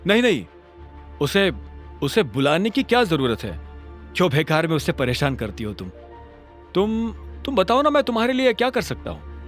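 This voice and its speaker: Man playing evasive young man, halting delivery, highish lively voice, audio drama, music underneath. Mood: flustered. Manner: hesitant, halting, deflecting. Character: guarded, evasive young man hiding his true work. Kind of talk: Hindi audio drama dialogue.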